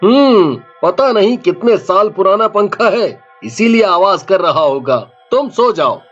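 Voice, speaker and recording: A protective family father in a cartoon, played by a man. His voice is on the higher side and unhurried. Music runs behind the slightly dull recording. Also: lively intonation. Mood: practical.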